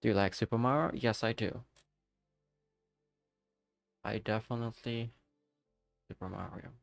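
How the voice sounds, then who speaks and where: A man chats casually through a live game stream, talking to himself and his viewers as he plays. His voice is smooth and resonant. It is recorded close and dry on a desk microphone. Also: mid-range voice, no echo. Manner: chatty, talks to himself and to chat viewers. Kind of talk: casual live-stream chat while gaming.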